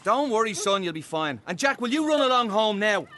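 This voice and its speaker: Man playing a brisk, bright Irish ambulance man. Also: high voice, big pitch swings, full character voice. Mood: soothing. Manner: reassuring, brisk. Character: kindly, brisk adult male ambulance man. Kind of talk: radio drama acting.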